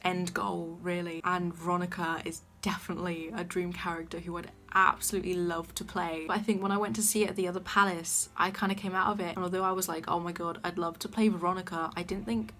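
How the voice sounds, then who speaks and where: Gushing young woman with soft voice. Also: on the low side, level tone, quick pace, few pauses. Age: young adult. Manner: gushing, enthusiastic fan talk. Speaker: vlogger. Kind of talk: casual vlog chat to camera. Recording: faint background music.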